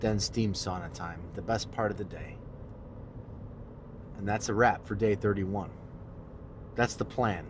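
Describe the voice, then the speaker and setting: A man's voice: lowish voice, steady tone, a little slow, long pauses, a little hiss or hum. A man with a congested, nasal voice, chatting in a joking, boastful way as a fitness vlogger while driving. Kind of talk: casual vlog while driving. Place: car.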